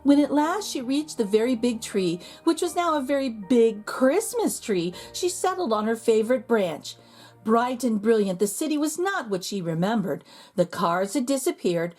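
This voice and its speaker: A woman reading a children's picture book aloud in an expressive storytelling manner. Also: soft background music. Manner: slow, expressive storytelling with lively pitch.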